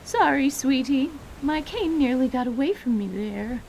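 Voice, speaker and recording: A woman voicing a kindly elderly grandmother, gentle and measured, doting but a little stern, in an audio drama. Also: high-pitched, lively intonation, a little hiss or hum, pitched above the performer's own voice, poor audio. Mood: fond.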